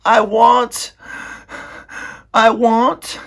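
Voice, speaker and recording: A man putting on a shrill, whiny serving girl. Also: wildly swooping pitch, a little slow, fairly bright tone, husky, full character voice. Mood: wailing. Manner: high, shrill, whining, coarse speech. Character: dim, weepy young serving girl, coarse and stubborn.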